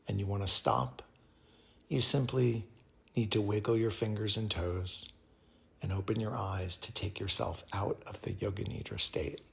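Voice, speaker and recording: A man guides a meditation in a low voice with a creaky edge and a bright, crisp-edged tone. His delivery is calm and soothing. He speaks in short, measured phrases and leaves space between them. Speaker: yoga teacher guiding meditation.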